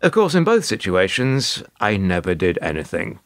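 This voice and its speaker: An actor in a radio play playing a world-weary, put-upon hotel receptionist who talks in a wry, resigned, long-winded formal way. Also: male voice, low-pitched, wildly swooping pitch, moderate pace, a little hiss or hum, heavily acted. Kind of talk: radio play monologue and dialogue.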